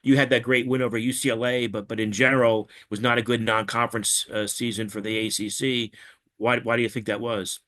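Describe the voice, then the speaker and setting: Sports reporter guy on a media call, normal pitch, asking stuff. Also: flat delivery, a little fast, a bit bright. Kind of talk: press call questioning.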